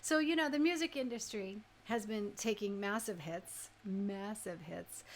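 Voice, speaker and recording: A woman's voice: mid-range voice, slight background noise. A woman hosting a radio music show, chatting casually and conversationally with listeners, often tossing in questions and checking that they agree. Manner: conversational, rhetorical questions and frequent tag questions. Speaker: radio DJ and host.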